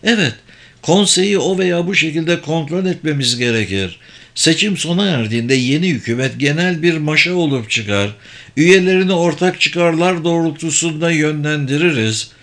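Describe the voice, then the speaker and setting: A man's voice: on the high side, expressive, moderate pace, fairly bright tone. A man voicing a superior, persuasive sorcerer in an audiobook, speaking formally and insistently. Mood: explaining, assured. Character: persuasive, superior sorcerer who runs secret political games.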